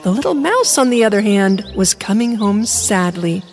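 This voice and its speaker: A woman's voice: mid-range voice, animated, normal speed, soft background music. A woman reading scripted narration for a children's bedtime story.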